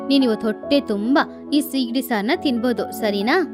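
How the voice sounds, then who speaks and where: Woman playing mother sparrow, high voice, protective, earnest, motherly, animated bedtime tale, music underneath. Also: normal speed, natural voice. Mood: happy. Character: devoted, protective mother sparrow, poor but determined. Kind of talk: children's animated bedtime story dialogue. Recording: music behind the voice.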